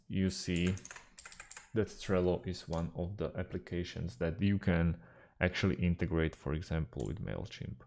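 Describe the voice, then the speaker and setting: A man whose first language is Slovak talks through a computer tutorial at a slow, unhurried pace, pausing on fillers. His voice is low and mellow, with a gravelly edge. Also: a little hiss or hum. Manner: unhurried, hesitant with fillers, slightly non-native phrasing. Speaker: tutorial host. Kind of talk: screen-recorded software tutorial.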